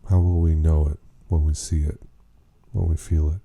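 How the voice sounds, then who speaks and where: A man leading a guided meditation, speaking in an unhurried, gently questioning way with long pauses. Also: extremely low pitch, level tone. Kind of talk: slow spoken guided meditation.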